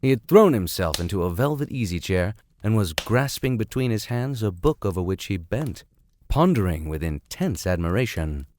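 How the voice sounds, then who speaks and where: Male podcast reader, lowish voice with very animated intonation, playful, sardonic, given to digressions. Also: moderate pace, slight background noise. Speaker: host and reader of a classics podcast. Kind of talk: audiobook reading with comic tangents.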